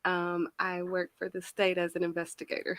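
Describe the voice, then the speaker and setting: A woman's voice: on the low side, completely flat delivery, normal speed, fairly bright tone, a little hiss or hum. A woman of thirty-one from Fort Worth, Texas, chatting casually as a dating show contestant. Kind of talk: casual chat.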